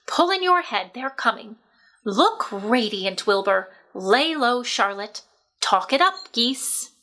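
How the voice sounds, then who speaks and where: Woman reading as a bright, bossy old sheep. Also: a little high, expressive, slow pace, heavily acted. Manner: commanding, clipped instructions in short phrases. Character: bossy, shrewd old sheep giving urgent advice.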